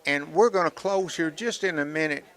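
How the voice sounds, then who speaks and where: A man's voice: fairly high pitch, moderate pace, fairly bright tone. A man hosting a live radio telethon, making folksy, joking appeals for donations.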